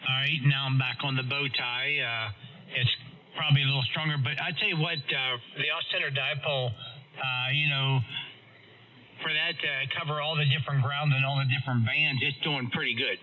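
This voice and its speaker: A man chatting casually over amateur radio about technical matters in a halting way, as a radio operator. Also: medium pitch, fairly even intonation. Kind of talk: casual ham radio chat. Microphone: heard over amateur radio. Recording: muffled amateur radio transmission with noise.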